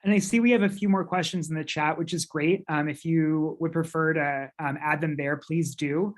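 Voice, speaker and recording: A woman's voice: deep voice, steady tone, normal speed. A woman moderating a webinar informally, relaying questions with hesitant, tentative phrasing.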